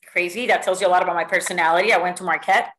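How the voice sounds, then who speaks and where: A middle-aged woman from Puerto Rico giving a lively, motivational talk to her sales team over a video call, full of stories and fillers. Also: on the low side, racing. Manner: lively, animated storytelling with many fillers. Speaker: sales executive speaking to a team. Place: home room with bookshelves. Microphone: video call webcam.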